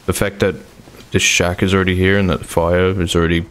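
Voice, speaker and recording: A man playing a cautious, guarded survivor in hiding, measured and wary, in a game roleplay. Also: low voice, level tone, a bit bright. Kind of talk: improvised game roleplay drama.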